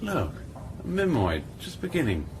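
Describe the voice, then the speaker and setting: An actor playing an anxious, protective scientist in an audio drama, earnest and insistent in manner. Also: male voice, mid-range voice, huge pitch swings, moderate pace. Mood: worried, insistent. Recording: noisy ambience behind the voice.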